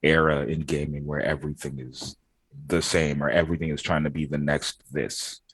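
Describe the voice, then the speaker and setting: A man in relaxed, informal conversation on a gaming podcast panel. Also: very deep voice, moderate pace, slightly rough, dry recording. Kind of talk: casual chat.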